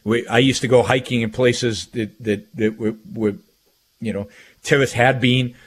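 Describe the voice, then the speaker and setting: A man in his sixties, from Israel, hosting his own radio show and talking through memories in a halting, reflective way with many restarts. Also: mid-range voice, fairly even intonation, husky. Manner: halting, frequent restarts and repeated phrases; reflective, emphatic repetition. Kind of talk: personal monologue on radio.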